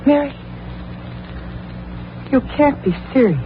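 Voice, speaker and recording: A tinny, noisy old radio recording carries an alluring mistress. Her pitch moves freely as she plays coy yet cool and calculating, in 1940s melodrama style. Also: lively intonation, takes it slowly. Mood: cool, teasing. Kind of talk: 1940s radio drama acting.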